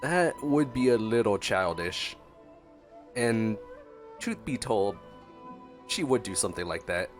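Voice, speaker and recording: A man's voice: lowish voice, expressive, slow, long pauses. A man giving casual, playful reactionary commentary as he streams a game. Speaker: gameplay streamer. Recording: game music behind the voice.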